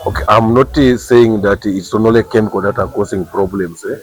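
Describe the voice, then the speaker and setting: A man with a Ugandan English accent and a very deep voice speaks as an official raising awareness. He explains point by point, repeating words and checking in with a quick "eh?". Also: steady tone, faint music behind. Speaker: government official. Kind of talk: awareness talk to workers.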